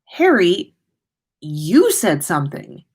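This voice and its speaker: A woman's voice: fairly low pitch, wildly swooping pitch, slow pace, a little mellow, husky, exaggerated voice. A woman reading the part of a frank, helpful teenage wizard boy in a story. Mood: matter-of-fact.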